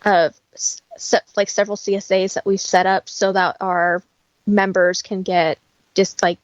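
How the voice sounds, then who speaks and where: A woman's voice: mid-range voice, flat delivery, a little slow, no echo, muffled recording. A woman talking casually and instructively, in a matter-of-fact way, as a guest who teaches practical skills. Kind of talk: casual conversational explaining.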